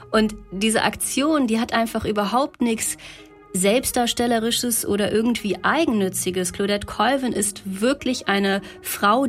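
Mid-pitched woman explaining conversationally. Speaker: podcast guest, researcher.

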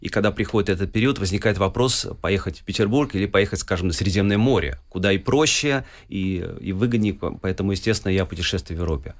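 A man's voice: deep voice, quick pace, hardly any pauses. A middle-aged man from Russia answering interview questions as a guest musician, telling his story fluently with some fillers.

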